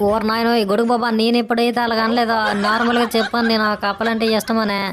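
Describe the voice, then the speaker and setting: A woman voicing a big, heavy, simple-minded male animal in an animated comedy, often protesting. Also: fairly high pitch, quick pace, close to an everyday voice. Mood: defensive. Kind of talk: animated comedy cartoon dialogue. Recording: loud background noise with clicks.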